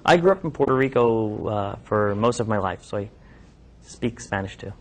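A man's voice: fairly low pitch, lively intonation, moderate pace, slight background noise. A man in his thirties from New York, a physician giving an informal talk to medical students in a classroom, speaking conversationally with many ums and restarts. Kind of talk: informal talk with questions from the audience. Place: classroom lab, indoors.

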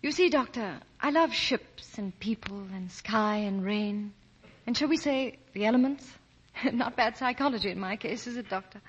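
Young gallant society woman, husky, wistful, poised, muffled old radio sound. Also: fairly high pitch, normal speed. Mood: wistful, brave. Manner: poised, wistful, lightly playful. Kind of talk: 1940s radio acting. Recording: dull vintage 1940 radio recording.

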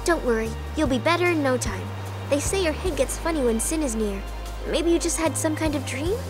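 Perky, high-voiced teenage game girl. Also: animated, fairly quick, music in the background. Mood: gently concerned. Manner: chirpy, casual, reassuring. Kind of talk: video game voice acting.

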